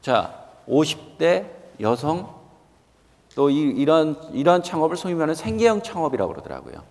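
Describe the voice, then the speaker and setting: Animated man in his fifties, reverberant hall. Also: fairly high pitch, normal speed, gravelly. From South Korea. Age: 50s. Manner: emphatic, questioning the listeners directly, repeating key points. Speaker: guest lecturer, company executive. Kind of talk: motivational lecture to students.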